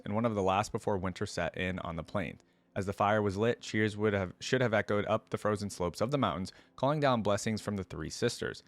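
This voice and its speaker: A man with a fairly low voice, recorded close and dry. He reads fantasy lore aloud as a reaction host and adds casual, conversational commentary of his own. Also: no room sound.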